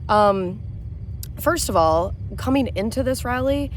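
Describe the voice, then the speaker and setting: A woman with a hoarse voice, speaking as a rally vendor in a chatty, matter-of-fact way. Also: a little high, expressive, unhurried, slight background noise. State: hoarse, losing her voice. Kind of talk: casual vlog chat to camera. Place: outdoor campground.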